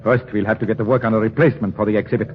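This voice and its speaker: Man playing fast-talking, domineering villainous scientist. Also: fairly low pitch, steady tone, close to an everyday voice. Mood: cold, impatient. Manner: brisk, impatient, bossy. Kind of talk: 1940s radio acting. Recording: muffled, echoing, noisy 1940s radio recording.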